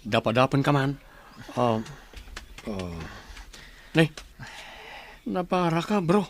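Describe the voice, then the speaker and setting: A man's voice: mid-range voice, sing-song, takes it slowly, slight background noise. A man playing an easygoing, brotherly village buddy in an Ilocano comedy radio drama, casual and questioning. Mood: concerned.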